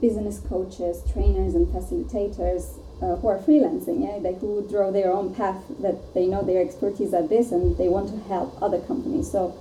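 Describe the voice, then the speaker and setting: A woman's voice: medium pitch, steady tone, moderate pace, hardly any pauses, warm tone. A woman from Turkey, a startup co-founder, chatting in a friendly way as a podcast guest. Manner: friendly, laughs easily. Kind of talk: casual podcast chat. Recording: distant, roomy with faint noise.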